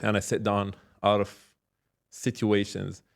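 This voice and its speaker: A man's voice: lowish voice, monotone, measured pace, gravelly. A man speaking casually and reflectively as an interview guest, repeating words and explaining with examples and check-questions. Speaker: podcast guest, startup founder and mentor.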